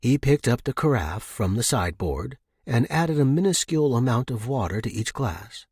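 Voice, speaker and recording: A man's voice: mid-range voice, very animated, moderate pace, a little mellow, breathy edge, dry recording, clean studio sound. A man reading scripted fiction as an audiobook narrator.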